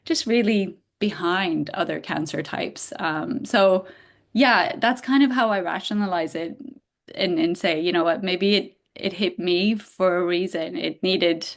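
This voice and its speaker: A woman from Bulgaria, a guest in a casual interview, talking in an animated way with frequent filler words and quick laughs. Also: lowish voice, gravelly.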